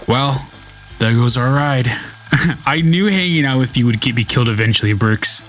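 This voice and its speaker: A man's voice: mid-range voice, moderate pace, a bit bright, soft background music, close to an everyday voice. A man playing a wisecracking, exasperated spacefaring sidekick in an amateur sci-fi radio drama. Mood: resigned.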